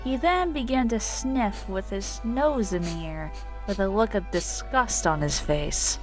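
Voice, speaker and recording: Woman narrating a story over soft music. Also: medium pitch, animated, measured pace.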